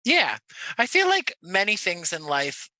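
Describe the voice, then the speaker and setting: Male podcast guest from New Jersey: very bright, sharp voice, effusive casual chat, dry video-call recording. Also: wildly swooping pitch, measured pace, no room sound, poor audio. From New Jersey, USA. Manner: high-energy, effusive. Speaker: podcast guest, audio playwright.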